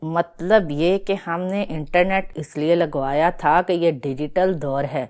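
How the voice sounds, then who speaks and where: A woman's voice: sits low, steady tone, darkish tone, lightly acted. A woman playing a fretful, complaining mother in an acted children's moral story. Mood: exasperated complaining. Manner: complaining, dramatic. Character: exasperated, fretful mother scolding her cartoon-obsessed children.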